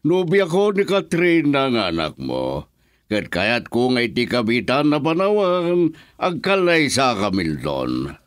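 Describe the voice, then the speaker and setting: An actor playing a brazen, smug rich suitor in a radio drama, taunting, with a Filipino accent. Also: male voice, mid-range voice, wildly swooping pitch, slow, dry recording, clean studio sound. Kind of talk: Ilocano radio drama acting.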